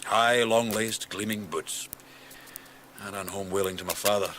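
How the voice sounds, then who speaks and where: An actor playing an earnest, idealistic gentleman doctor with a secretive streak, speaking deliberately in a radio drama. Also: on the low side, fairly even intonation, a little hiss or hum. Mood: reflective. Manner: earnest, insistent, deliberate phrasing.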